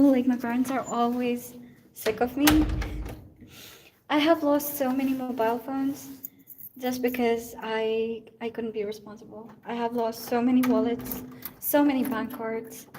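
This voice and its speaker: A woman's voice: fairly high pitch, mellow. Chatty young woman, noisy phone stream. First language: Urdu. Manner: chatty, laughing, self-teasing, switches into Hindi and Punjabi. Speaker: vlogger streaming live. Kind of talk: casual live-stream chat while walking. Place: outdoors at night, walking around her building.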